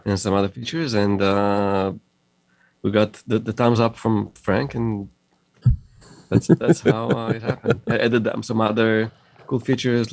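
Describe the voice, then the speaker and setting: Low gravelly man with Russian-Israeli accent. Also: dry recording. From Moldova. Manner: halting, many fillers and restarts, laughs often. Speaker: guest, audio company founder. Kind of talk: casual interview conversation.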